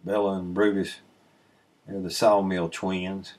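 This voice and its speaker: A man's voice: sits low, flat delivery, slow pace, a little mellow. A middle-aged man casually explaining his sawmill work over video footage, laid-back and rambling with plenty of ums and uhs, recorded at his desk on a webcam. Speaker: sawmill channel host. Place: indoor room, voice-over recorded away from the sawmill. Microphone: webcam inset, seated at a desk.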